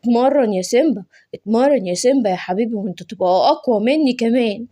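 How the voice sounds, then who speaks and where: A woman's voice: a little high, expressive, fast, pitched below the performer's own voice. Woman as a fatherly, protective Egyptian lion. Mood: gentle, reassuring. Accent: Egyptian Arabic. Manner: gentle, fatherly, firm. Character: kind, protective grown male lion, fatherly. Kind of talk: children's cartoon story voice acting.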